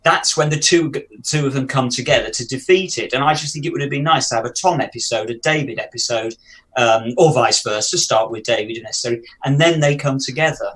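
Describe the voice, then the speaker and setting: A man co-hosting a podcast, giving his view in casual chat, deliberate, repeating words as he starts. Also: medium pitch, a bit bright, poor audio. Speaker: podcast co-host.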